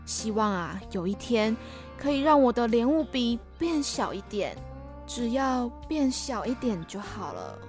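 A woman's voice: fairly high pitch, big pitch swings, unhurried, faint music behind. A woman playing a wistful young narrator who looks back reflectively in a scripted audio drama. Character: wistful young woman narrating her schooldays of being teased.

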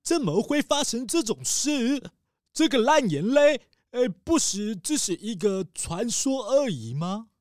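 An actor playing a fretful, excitable rich cruise-ship tycoon who dotes on his daughter, with frantic, dramatic pleading in a children's audio drama. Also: very high-pitched, unhurried, no room sound, clean studio sound, exaggerated voice. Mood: panicked. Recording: music bed under the voices.

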